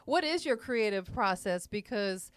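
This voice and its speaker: A woman's voice: medium pitch, expressive, a little slow, clean tone, dry recording. A woman hosting a podcast interview in a studio, chatty and affirming, full of slang. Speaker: podcast host. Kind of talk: casual interview. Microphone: studio mic.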